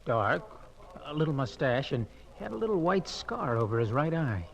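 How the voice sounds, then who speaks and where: Eager, lively young errand boy. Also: a little high, moderate pace, vocal fry. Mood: eager, deferential. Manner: polite, obliging. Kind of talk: 1940s-50s radio drama acting. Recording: muffled vintage radio recording.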